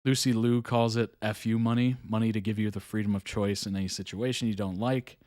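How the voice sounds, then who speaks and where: A man's voice: lowish voice, flat delivery, fairly quick, a little mellow, no room sound, studio quality. A young adult man hosting a live reaction stream, chatting casually and musing aloud with fillers and small chuckles. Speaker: reaction video host.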